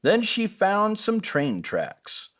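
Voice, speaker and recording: A man's voice: sits high, wildly swooping pitch, slow pace, fairly bright tone. A man reading a children's bedtime story expressively as a storyteller.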